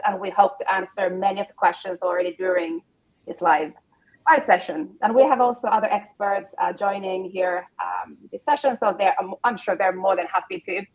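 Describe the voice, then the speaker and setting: A woman's voice: mid-range voice, gravelly, poor audio. A woman co-hosting an online webinar over a video call, explaining housekeeping to the audience. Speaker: co-host. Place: indoor room, video call.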